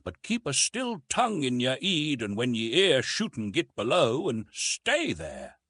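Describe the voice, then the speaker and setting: A man's voice: medium pitch, wildly swooping pitch, unhurried, a bit bright, no echo, clean studio sound, full character voice. A man voicing a little old sailor with a Cockney accent, agitated and emphatic, in an audiobook story. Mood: urgent warning. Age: older. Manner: emphatic, dropped aitches, repeats himself.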